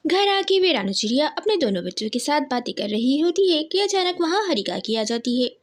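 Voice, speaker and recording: A woman tells a children's cartoon story from a script. Her voice is high, with animated, swooping intonation. She narrates expressively, with a dramatic storyteller's delivery. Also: fairly quick.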